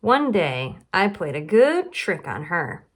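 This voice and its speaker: Woman, children's educator. Unhurried storybook read-aloud, teacherly, with questions and pauses. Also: on the low side, very animated. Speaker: nutrition educator reading to children.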